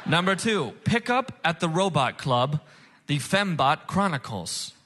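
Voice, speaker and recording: High-pitched man, showy game show host. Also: big pitch swings, a little slow, fairly bright tone, slight background noise. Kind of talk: comedy game show hosting before a live audience. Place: stage before a live audience.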